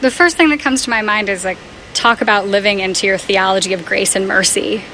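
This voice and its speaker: Woman talking earnest and reflective in an interview, soft little laughs, light hiss. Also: medium pitch, a little fast, poor audio. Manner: earnest, thoughtful, laughs softly. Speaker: staff member of a Quaker community house.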